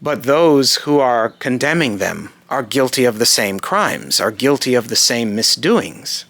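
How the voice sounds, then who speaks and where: Man voicing a stern, bright-voiced god judging mortals. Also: medium pitch, lively intonation, unhurried, dry recording. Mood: grave, condemning. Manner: grave, declamatory, contemptuous. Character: aloof male god Apollo condemning mortal civilization. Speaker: amateur voice actor. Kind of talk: rehearsing scripted monologue lines in retakes.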